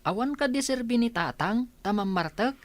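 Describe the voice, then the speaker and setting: A woman's voice: fairly high pitch, expressive, moderate pace, dry recording, lightly acted. A woman playing a young village schoolboy in a radio comedy-drama, an earnest, respectful and questioning son.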